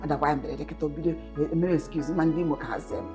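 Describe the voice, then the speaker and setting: A woman's voice: low voice, steady tone, racing, over music, close to an everyday voice. An actress with a Nigerian accent playing a domineering, disapproving middle-aged mother who scolds and mixes in local words. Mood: adamant. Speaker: actor. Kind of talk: comedy skit acting.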